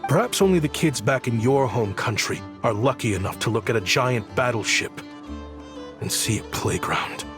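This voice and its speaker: A man's voice: medium pitch, animated, moderate pace, music in the background, natural voice. An actor playing a polite, protective man who minds children in a video game, courteous and reserved. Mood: reserved, wistful.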